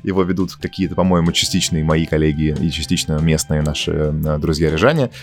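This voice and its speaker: A man's voice: very deep voice, a little fast, faint music behind. A man from Russia hosting a casual podcast, telling long anecdotes with plenty of fillers and asides. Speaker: podcast host. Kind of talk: casual conversational podcast chat.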